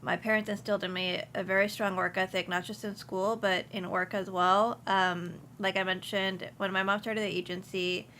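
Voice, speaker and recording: A woman's voice: mid-range voice, flat delivery, normal speed, hardly any pauses, fairly bright tone, slight background noise. A young woman from Houston, speaking casually as an interview guest, with many ums and restarts. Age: young adult. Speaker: insurance agency principal, guest.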